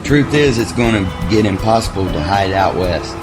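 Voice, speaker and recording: An actor playing a firm, loyal soldier in an audio drama. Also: male voice, fairly low pitch, expressive, moderate pace, lightly acted, rough recording. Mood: insistent. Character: firm, loyal male soldier of a rescue band. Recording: music under the voice.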